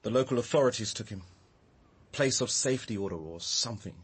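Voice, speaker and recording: A grieving, bitter Englishman in a radio play. His voice is rough and breath-worn, and its pitch moves widely. He sounds brooding, wounded and muddled. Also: lively intonation, fairly quick. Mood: dejected. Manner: brooding, emotional. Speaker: actor in a radio drama. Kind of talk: naturalistic radio drama dialogue.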